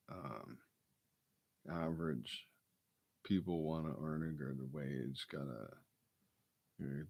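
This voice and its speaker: A man with a gravelly baritone, rambling through a late-night solo broadcast with mumbled, self-deprecating asides. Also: a little mellow, no room sound.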